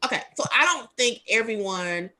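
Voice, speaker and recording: A woman's voice: fairly high pitch, animated, normal speed, sharp tone. A woman hosting a radio talk show, chatting candidly and rambling with fillers. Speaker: host. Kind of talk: casual talk show chat.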